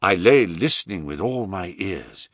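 A man's voice: deep voice, expressive, a little slow, dry recording, studio quality. A man voicing an anguished middle-aged father who tells his tale in formal, melodramatic Victorian phrases, in an audiobook story. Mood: earnest, anxious.

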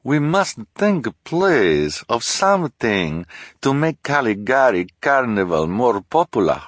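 Man as a female fortune-teller, strange foreign accent, theatrical, broken English, slow, swooping, storybook character. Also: mid-range voice, sing-song, no room sound, clean studio sound, voice pushed up. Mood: complaining, pleading. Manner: broken English, keeps adding "please".

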